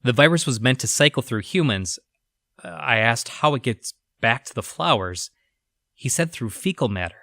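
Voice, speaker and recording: A man playing a troubled, guilt-ridden researcher narrating his journal in an audio drama, somber, confessional and halting. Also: mid-range voice, a bit bright, no echo, studio quality, natural voice. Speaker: voice actor.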